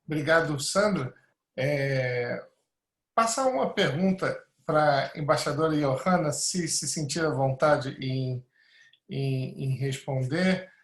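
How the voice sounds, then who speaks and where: A man moderates an online panel, his voice somewhat higher than most men's. The room around him sounds echoey. He puts a question to a guest politely and a little hesitantly. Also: slow. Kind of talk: webinar moderation.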